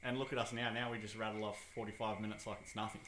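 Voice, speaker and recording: A man's voice: on the low side, flat delivery, very fast, fairly bright tone. A man chats easily on a podcast, self-deprecating and full of ums. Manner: self-deprecating, easygoing, lots of "um". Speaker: podcast co-host. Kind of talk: casual chat. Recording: echoey room with light noise.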